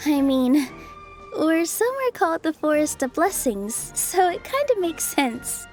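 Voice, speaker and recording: A woman playing a gentle, earnest and thoughtful young dancer in a fairy-tale game. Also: high voice, big pitch swings, a little slow, music in the background. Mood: wondering. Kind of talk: English game dub voice acting.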